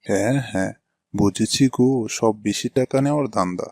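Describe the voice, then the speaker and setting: A man's voice: lowish voice, a little fast, a little mellow, no echo, clean studio sound. A man voicing a suspicious, grumbling customer in a cartoon. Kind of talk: cartoon dialogue.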